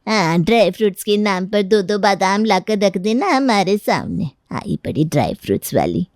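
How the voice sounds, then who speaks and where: A woman voicing a stubborn older mother-in-law in an animated family story, scolding and sarcastic. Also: mid-range voice, moderate pace, no room sound, studio quality, close to an everyday voice. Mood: scornful.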